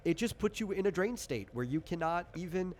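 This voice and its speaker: A man's voice: sits high, expressive, fairly quick, darkish tone, a little hiss or hum. A man working as a game master, casually explaining tabletop RPG rules in an informal, conversational way.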